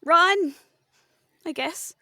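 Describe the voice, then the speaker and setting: A woman casually improvising narration as a player in a tabletop game, halting as she describes. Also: very high-pitched, sharp tone, dry recording, clean studio sound.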